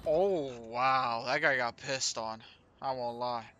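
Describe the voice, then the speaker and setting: A man's voice: medium pitch, slow, noisy background. A man in casual team voice chat during a shooter match, joking, swearing and mocking his teammates. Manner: trash-talking, profane, laughs at teammates. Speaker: competitive gamer. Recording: gunfire and game sounds behind.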